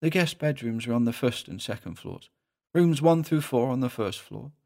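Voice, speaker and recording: A man's voice: mid-range voice, lively intonation, normal speed, creaky, darkish tone. A man voicing a meek, hesitant middle-aged innkeeper in an audio story. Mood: uneasy, halting. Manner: hesitant, plain-spoken. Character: short, meek middle-aged male innkeeper, nervous and halting.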